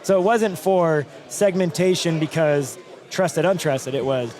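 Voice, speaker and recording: A man hosting a hallway interview at a conference, joking, laughing and asking quick follow-up questions. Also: on the high side, a little mellow, soft background music. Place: outdoors at a conference venue.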